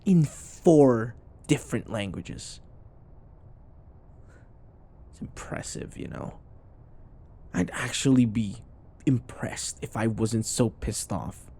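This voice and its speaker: A man's voice: mid-range voice, animated, slow pace, vocal fry, dark tone, husky, exaggerated voice. A man playing a sarcastic, irritable office rival who taunts and scoffs, in a scripted boyfriend audio roleplay. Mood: annoyed. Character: snarky, irritable male office rival, teasing and combative.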